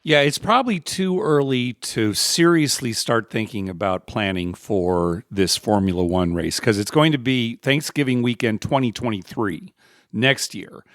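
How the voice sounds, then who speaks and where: A man's voice: medium pitch, a little slow, fairly bright tone, no room sound, studio quality. A man hosting a podcast in a casual, chatty style. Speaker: podcast host. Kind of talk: casual chat.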